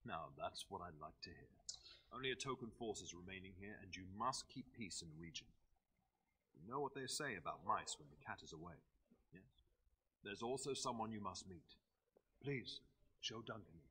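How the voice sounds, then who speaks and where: An actor playing a middle-aged noble lord in a video game, speaking in a measured, formal, fatherly way. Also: deep voice, lively intonation, bright, forceful tone, husky, full character voice, poor audio. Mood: stately, warm.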